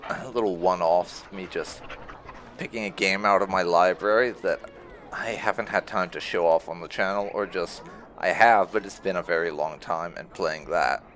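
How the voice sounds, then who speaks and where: A man's voice: deep voice, fairly bright tone, slight background noise. A man hosting a let's play, giving casual, rambling gameplay commentary in an informal way. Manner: rambling, informal, with occasional swearing.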